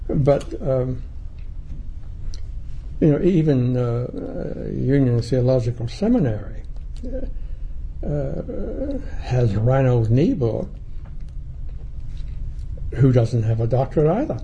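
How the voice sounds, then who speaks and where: An elderly man from New Zealand and England reminiscing in an interview, with a halting, reflective, erudite and wryly humorous manner. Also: mid-range voice, sing-song, dark tone, dull audio. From born in New Zealand, raised in England. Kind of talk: oral history interview reminiscence. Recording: faint background noise.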